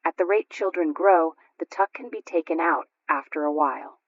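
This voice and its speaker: A woman's voice: deep voice, moderate pace, breathy edge, no room sound. A woman voicing a proud, practical housewife in a radio sketch, precise and instructive. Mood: approving, instructive. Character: proud, practical, painstaking housewife at sewing club. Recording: dull lo-fi radio recording.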